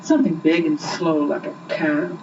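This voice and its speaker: A woman's voice: low voice, level tone, normal speed, distant mic, background noise. Cheeky male storybook rabbit, read by a woman, casual teasing delivery, muffled old home recording. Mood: breezy. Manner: teasing, breezy.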